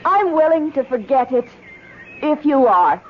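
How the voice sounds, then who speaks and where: An actress playing a defiant, evasive young wife on an expedition in a 1970s radio drama. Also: female voice, sits high, very animated, unhurried. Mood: evasive, nervous. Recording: muffled, noisy old radio broadcast.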